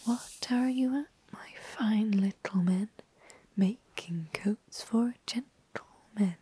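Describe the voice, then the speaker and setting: A woman's voice: mid-range voice, takes it slowly, warm tone, a little hiss or hum, natural voice. A woman voicing a chorus of tiny, cheeky male mice singing rhymes in a whisper. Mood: playful. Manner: whispered sing-song.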